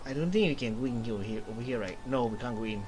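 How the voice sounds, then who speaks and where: A young man giving casual let's-play commentary, thinking aloud in a halting way. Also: medium pitch, lively intonation, over music. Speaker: gaming streamer. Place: small room indoors.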